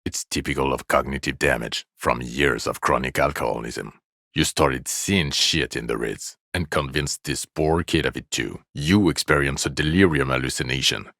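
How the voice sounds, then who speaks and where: An actor playing a jaded, sarcastic, irritable police officer in a video game, gravelly and deadpan, with weary scorn. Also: male voice, rumbling low, no echo, studio quality, exaggerated voice. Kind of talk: video game character dialogue lines.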